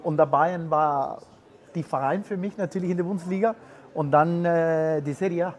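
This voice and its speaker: Brief: man in his forties from Peru, retired footballer as guest, searching for words, slightly higher voice. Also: normal speed. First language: Spanish. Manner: hesitant non-native German with many fillers. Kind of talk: casual interview answers.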